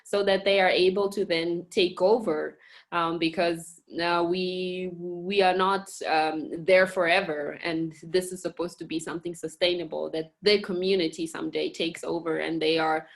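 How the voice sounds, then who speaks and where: Low-voiced woman, halting and earnest. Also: steady tone, creaky. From Mozambique. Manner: hesitant, many fillers and restarts. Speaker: communications director and girls education program manager at a national park. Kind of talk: webinar interview answers.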